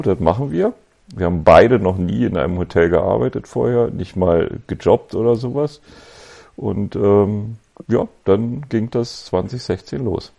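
A man in his fifties from Berlin with a Berlin accent, telling his story as a podcast guest in an easygoing, reflective way with frequent pauses. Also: fairly low pitch, gravelly. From West Berlin, Germany. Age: 50s. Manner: relaxed, reflective, with many ums and pauses. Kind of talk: casual conversational storytelling.

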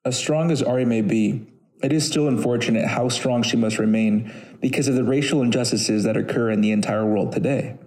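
Male podcast narrator, average pitch, formal scripted read linking parts of a personal story. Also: fairly quick, a little mellow, rough recording.

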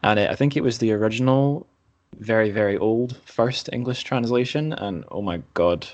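A man's voice: on the low side, moderate pace, a little mellow, dull audio. A man hosting a podcast interview in an informal, self-deprecating, chatty way. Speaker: podcast host. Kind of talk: casual chat, interviewing.

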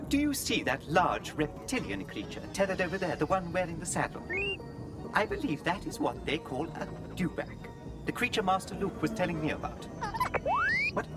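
Anxious, prim protocol droid for radio drama; formal diction, wildly animated intonation. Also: male voice, high-pitched, moderate pace, long pauses. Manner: prissy, formal, fretful. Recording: music behind the voice.

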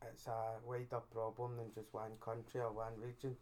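Brief: Scottish male co-host, monotone delivery, plain matter-of-fact opinions. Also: mid-range voice, normal speed, slight background noise. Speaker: podcast co-host. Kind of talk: casual chat.

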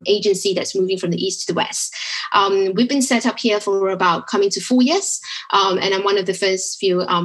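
A woman's voice: mid-range voice, fairly bright tone. A woman with a Singaporean accent, speaking as an expert podcast guest in a conversational, explanatory style. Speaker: podcast guest, digital agency director.

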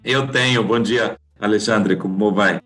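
A man's voice: on the low side, measured pace, a bit bright, faint music behind. A man in his thirties with a German accent, a guest speaking Portuguese as a second language, talking hesitantly with restarts and fillers. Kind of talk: conversational interview.

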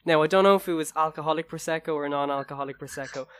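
A young Irish man co-hosting a podcast, telling anecdotes in an upbeat, excitable way during casual chat. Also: on the high side, very fast, clear, resonant tone, no room sound. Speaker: podcast co-host.

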